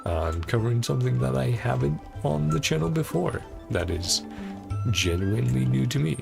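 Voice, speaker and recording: A male game streamer talks in a casual, rambling way as he reads along and comments. His voice is lively in pitch with plenty of fry, and faint game music plays behind him. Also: moderate pace. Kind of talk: casual livestream commentary, reading game dialogue aloud.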